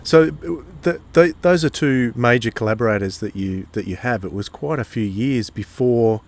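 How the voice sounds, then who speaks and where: A man's voice: mid-range voice, moderate pace. A man hosting a podcast interview, asking questions in a casual, tentative way.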